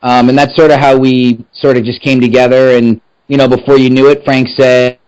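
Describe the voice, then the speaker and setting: A man's voice: mid-range voice, little pitch movement, darkish tone, clear, resonant tone. Earnest thirties man, lo-fi sound. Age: 30s. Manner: earnest, halting, repeats words. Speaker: podcast co-host. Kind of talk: casual interview chat. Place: his house.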